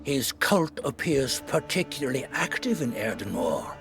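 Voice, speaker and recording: Authoritative male dignitary, formal wording, expressive pitch, music behind, fantasy game. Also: normal speed, vocal fry. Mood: composed, grave. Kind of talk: video game character acting.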